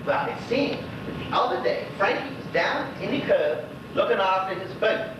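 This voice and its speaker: An actor playing a lonely, gossipy old widower in a stage monologue, with a Bermudian accent and a rambling, exclaiming way of talking to unseen listeners. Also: male voice, sits high, lively intonation, normal speed. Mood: excited gossip. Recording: very echoey stage, distant mic, noisy, dull.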